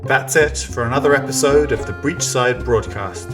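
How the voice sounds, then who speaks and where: A male podcast announcer at a medium pitch reading a scripted piece. Loud plucked guitar music plays behind him throughout. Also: normal speed. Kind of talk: scripted show sign-off.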